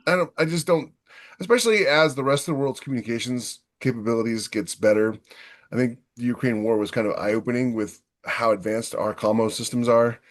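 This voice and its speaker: A man co-hosting a podcast, talking casually and giving firm opinions. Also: lowish voice. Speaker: podcast co-host.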